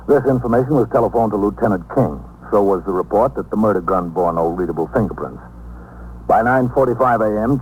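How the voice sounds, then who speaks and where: Low-voiced, no-nonsense police captain, played by a man. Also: darkish tone, close to an everyday voice. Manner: matter-of-fact, clipped, firm. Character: dry, tough New York police captain narrating cases. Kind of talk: factual scripted narration, 1950s radio acting. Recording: noisy, humming 1950s radio recording.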